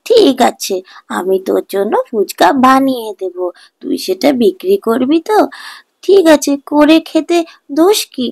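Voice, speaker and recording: Woman putting on a slow, fond old village granny. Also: high-pitched, very animated, creaky, a little mellow, no room sound, full character voice. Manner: drawn-out, fond, reassuring. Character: kindly, self-sacrificing old village grandmother. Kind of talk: animated children's folk tale acting.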